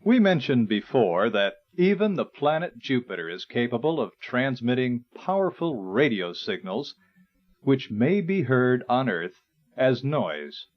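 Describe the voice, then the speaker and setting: Slow, expressive male instructional narrator. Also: mid-range voice. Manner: measured, explanatory. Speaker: narrator and presenter. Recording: dull, lo-fi 1980s recording.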